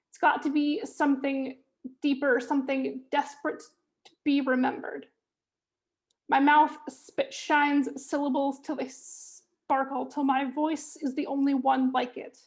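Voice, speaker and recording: Woman, poet, stuttering, high voice, slow, video call. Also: creaky. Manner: stuttering, measured rhythmic delivery. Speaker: poet reading her chapter. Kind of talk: spoken word poetry reading.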